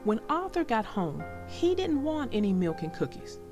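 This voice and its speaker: A woman narrating a storybook for young children in an expressive, deliberate way. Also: a little high, over music.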